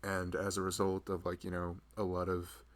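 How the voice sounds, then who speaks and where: A young man playing a loving, earnest husband chatting casually and intimately with his pregnant wife in an ASMR roleplay, rambling and halting as he goes. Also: sits low, monotone, natural voice. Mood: earnest, tender.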